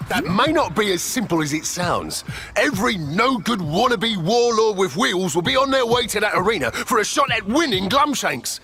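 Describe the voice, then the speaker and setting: A feisty cartoon sidekick, sharp high voice, lively, earnest. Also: male voice, moderate pace, full character voice. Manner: earnest, colourful slang. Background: faint music.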